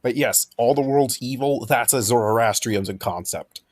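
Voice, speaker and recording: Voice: medium pitch, normal speed, darkish tone. A host in casual group chat, talkative and lecturing with jokes. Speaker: podcast host.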